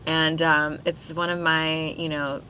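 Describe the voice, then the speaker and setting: A young woman with a slightly low voice talks through her memories in a relaxed, reflective way, full of fillers and quick laughs. She is a podcast guest chatting casually, and a faint noise sits under her voice. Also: rough recording. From Pakistan. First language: Urdu. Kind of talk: casual interview chat.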